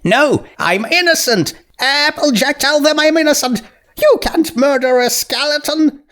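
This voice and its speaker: Man voicing a flustered, high-pitched young pony princess. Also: a little slow, fairly bright tone. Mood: pleading. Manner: pleading, indignant. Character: young female pony princess, flustered, protesting her innocence.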